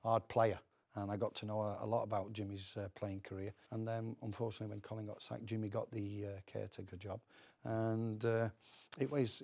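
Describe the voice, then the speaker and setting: Gravelly, low-voiced Northern English man. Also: mellow. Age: middle-aged. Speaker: former footballer, studio guest. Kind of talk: casual chat, reminiscing.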